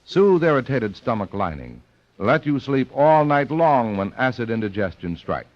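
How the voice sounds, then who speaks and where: A man's voice: medium pitch, big pitch swings, moderate pace, no echo. An American man as a polished mid-century radio announcer, reading credits and sponsor copy emphatically. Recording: muffled old radio broadcast.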